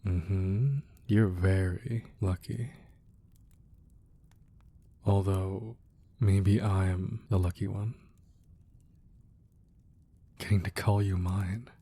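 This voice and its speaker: A man acting a gruff but tender, protective crime boss husband in an intimate, soft-spoken ASMR romance roleplay. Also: low voice, steady tone, extremely slow, long pauses, a little hiss or hum, full character voice. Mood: playful, tender, then devoted, tender. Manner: soft, intimate, affectionate murmur.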